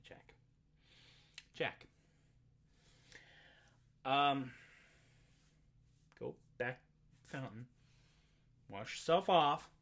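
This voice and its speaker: A storyteller voicing the sheriff's daughter, a patient, weary young woman who talks slowly and coaxingly, as to a child. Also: medium pitch, animated, fairly bright tone, pitched below the performer's own voice, full character voice. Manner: slow, coaxing, talking to him as to a child.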